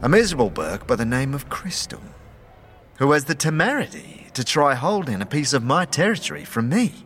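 A man's voice: mid-range voice, very animated, brisk, fairly bright tone, slight background noise, voice pushed up. A man voicing a cunning, menacing slum gang boss who taunts in drawling street slang. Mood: sly menace.

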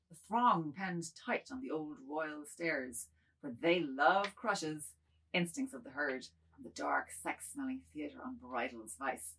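A woman plays a middle-aged man with a low, husky voice in a dramatic novel reading. He is apologetic and wistful, justifying himself in broken, musing phrases. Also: a bit bright, heavily acted, rough recording.

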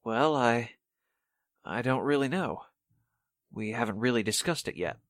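A male actor performs a defensive heir in a 1940s radio drama. His middle-pitched voice is earnest and hesitant. The sound is dry and close. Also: expressive, unhurried, a bit bright, dry recording. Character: defensive male heir questioned about a murder.

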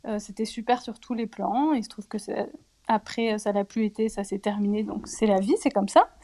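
A woman in her forties speaking candidly about her personal life in an interview, reflective and hesitant, often pausing on fillers. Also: medium pitch, steady tone, warm tone. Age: 40s.

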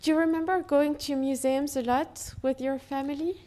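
A woman's voice: high-pitched, fairly even intonation, moderate pace, dark tone. A woman interviewing an artist, asking long, carefully built questions.

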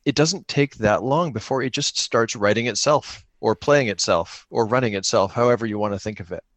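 A man's voice: medium pitch, a little fast, dry recording. A man chatting casually as a podcast guest, speaking in an earnest, emphatic way. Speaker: podcast guest and solo game player. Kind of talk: casual chat.

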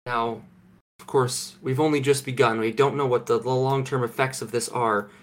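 A young Canadian man co-hosting a podcast from home, deadpan and mock-serious, with halting restarts. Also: mid-range voice. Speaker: podcast co-host. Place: home, remote recording.

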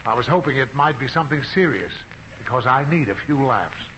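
An actor playing a cranky, sarcastic middle-aged American boss in a 1950s radio sitcom, condescending and exclamatory. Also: male voice, mid-range voice, animated, a little fast, fairly bright tone, natural voice. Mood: sardonic. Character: cranky blustering middle-aged male boss, sarcastic about his wife. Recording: muffled, noisy old radio recording.

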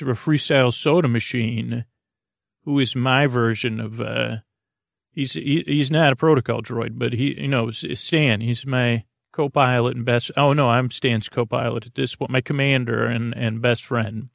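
Creaky-voiced man, rambling bedtime podcast host. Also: mid-range voice, no echo, studio quality. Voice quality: gravelly, creaky. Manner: meandering, hesitant, with stammered false starts and tangents.

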